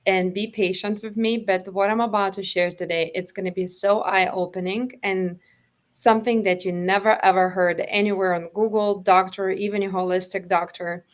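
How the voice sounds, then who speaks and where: A woman's voice: mid-range voice, steady tone, moderate pace. Earnest woman with a Lithuanian accent. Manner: earnest, emphatic, repeats phrases. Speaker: guest, holistic practitioner. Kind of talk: impassioned interview talk.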